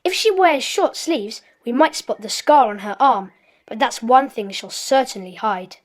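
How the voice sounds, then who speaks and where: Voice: medium pitch, animated, measured pace, lightly acted. An actor playing a cheerful schoolchild in a secret club, in an audiobook drama. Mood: matter-of-fact.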